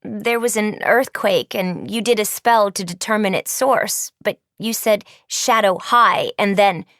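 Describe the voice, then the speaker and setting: A woman's voice: mid-range voice, measured pace, fairly bright tone, no echo, clean studio sound. Woman as an earnest, hesitant teenage schoolgirl. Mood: concerned.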